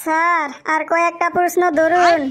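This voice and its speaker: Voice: very high voice, completely flat delivery, moderate pace, a bit bright. A performer voicing a cheeky, naive schoolchild in a comic cartoon, pleading and earnest in manner. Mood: eager, cheeky.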